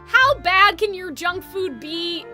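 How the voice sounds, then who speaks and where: A woman livestreaming a game and chatting casually, with animated exclamations, swearing and lots of filler "like". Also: very high-pitched, slow. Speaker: game streamer. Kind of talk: casual livestream reaction chat. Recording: game music behind the voice. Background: video game music and dialogue.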